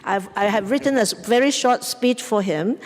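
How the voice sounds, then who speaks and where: A woman's voice: a little high, normal speed. A woman in her sixties with a Cantonese accent, chatting informally as she introduces speakers at a community event. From Hong Kong or southern China, in Canada since 1975. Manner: chatty, informal, mixes up pronouns. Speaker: event host, opera organizer. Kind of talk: casual introductions at a public event. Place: museum event hall, indoors. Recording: reverberant hall.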